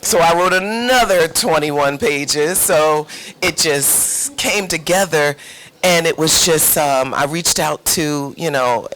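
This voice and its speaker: A woman's voice: low-pitched, takes it slowly, fairly bright tone, rough recording. Woman chatting casually in an interview. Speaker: playwright and producer being interviewed.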